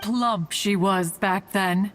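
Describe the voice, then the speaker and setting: A woman's voice: mid-range voice, takes it slowly, heavy background noise, close to an everyday voice. A woman voicing a proud, doting, ancient immortal mentor in a video game, with stately, pompous, archaic diction. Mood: fondly teasing. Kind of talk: video game character acting.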